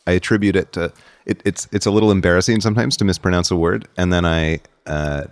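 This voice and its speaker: A man's voice: deep voice, steady tone, fast, no echo. A man hosting a podcast in casual conversation, often repeating words as he feels his way through a sentence. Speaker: podcast host.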